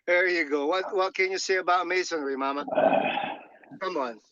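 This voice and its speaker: Bright-voiced man calling from his car. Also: sits high, dry recording. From Philippines. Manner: halting, many fillers and restarts. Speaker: guest on a call. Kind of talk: casual chat. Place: in a moving car. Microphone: phone call. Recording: dull lo-fi call audio.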